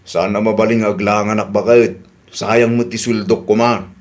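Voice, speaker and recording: A man's voice: on the low side, level tone, moderate pace, close to an everyday voice. A man playing a grumbling, reluctant village husband in a comedy radio drama.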